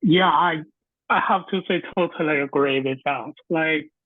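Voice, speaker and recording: A man asks questions over a thin, phone-like line and keeps his remarks short and easygoing. His voice sits a little higher than average. The sound is dry, with no room around it. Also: moderate pace, no room sound. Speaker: interviewer and comedy promoter. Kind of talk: casual interview. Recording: tinny phone-like call line.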